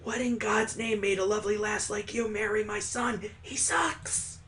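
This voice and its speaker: A man's voice: high voice, steady tone, moderate pace, a bit bright, slight background noise, voice pushed up. A man voicing a jovial, sentimental old father-in-law, hearty and earnest, in a visual novel read aloud. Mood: jovial, teasing.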